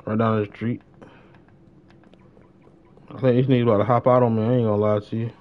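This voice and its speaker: A man's voice: fairly low pitch, little pitch movement, fairly quick. A young American man chatting casually and slangily as he livestreams a game, often repeating his phrases. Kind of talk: casual gaming stream chatter. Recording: dull lo-fi game-stream mic.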